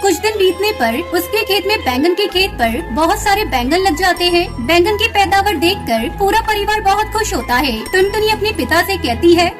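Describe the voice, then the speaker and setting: A woman's voice: extremely high pitch, animated, normal speed, hardly any pauses, over music. A woman narrating a scripted children's moral story as a storyteller.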